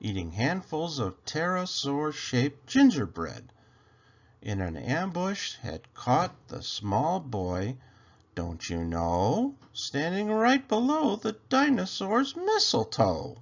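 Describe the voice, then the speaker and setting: A man reading a rhyming children's story aloud in an expressive, theatrical way with drawn-out phrasing. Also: a little high, creaky, highs cut off.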